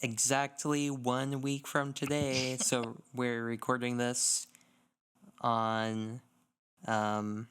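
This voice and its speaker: A young man with a clean, smooth voice at a middle pitch. He talks casually as a gaming podcast co-host, enthusiastic and slangy, with a self-mocking streak. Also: flat delivery, extremely slow, fairly bright tone.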